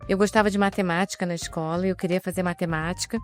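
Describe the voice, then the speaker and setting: A woman in her fifties from Rio de Janeiro with a softened carioca accent, speaking as a guest on an executive panel in a measured, explanatory way with light humor. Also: fairly low pitch, fairly even intonation, faint music behind. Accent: Carioca Portuguese, softened. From Rio de Janeiro, Brazil. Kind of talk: panel discussion.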